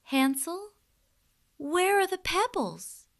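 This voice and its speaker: A woman's voice: very high voice, big pitch swings, takes it slowly, voice pushed up, exaggerated voice. A woman voicing a clever, brave little girl in a children's fairy tale, earnest and innocent. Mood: worried.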